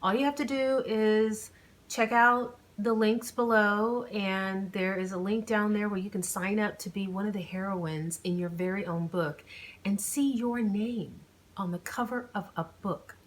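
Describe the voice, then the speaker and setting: A woman with a mid-pitched voice, speaking as an author who hosts her own reading channel. She has an informal, playful delivery suited to chatting with followers and telling a story. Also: fairly even intonation, a little slow. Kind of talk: chatty vlog intro, then audiobook narration.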